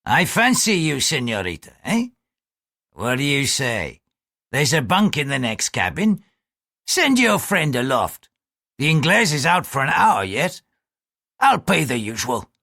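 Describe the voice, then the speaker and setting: A man's voice: mid-range voice, wildly swooping pitch, a little slow, pushed and bright, dry recording, studio quality, full character voice. A man voicing a crude, lecherous male ship's bosun who leers and threatens. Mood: leering. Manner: coarse, leering, threatening.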